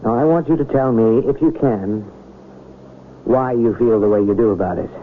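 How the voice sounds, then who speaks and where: Actor as an earnest reverend on tinny radio. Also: male voice, mid-range voice, normal speed, close to an everyday voice. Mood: probing. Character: earnest, probing, persuasive small-town reverend. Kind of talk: 1980 radio drama acting. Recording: noisy, tinny old radio broadcast recording.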